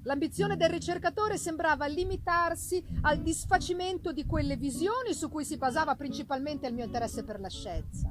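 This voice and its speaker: A woman with a high voice narrates a novel in a theatrical, deliberate manner. She pauses for effect between phrases, and live music plays clearly behind her. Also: low-quality recording. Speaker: reader of a novel, narrating in first person. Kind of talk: dramatic literary narration, live performance. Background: live musical accompaniment.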